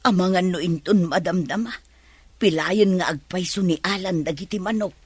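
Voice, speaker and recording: A woman's voice: fairly low pitch, moderate pace, husky, dry recording. A woman with a Filipino accent acting in a radio drama as a folksy, fretful older village mother.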